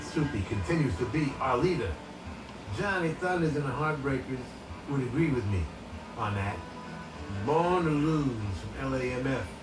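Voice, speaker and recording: A man's voice: mid-range voice, animated, normal speed, roomy sound, recorded from a distance, low-quality recording. A man doing radio DJ patter, introducing songs on a broadcast recorded off the radio and played back on tape. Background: music.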